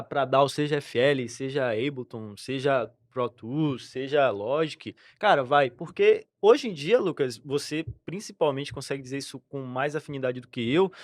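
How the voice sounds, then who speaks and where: A man's voice: medium pitch, normal speed, no echo, clean studio sound. A young Brazilian man co-hosting a music podcast, laid-back and slangy as he talks about songwriting. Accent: Brazilian Portuguese. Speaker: podcast co-host, singer and lyricist. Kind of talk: casual podcast chat.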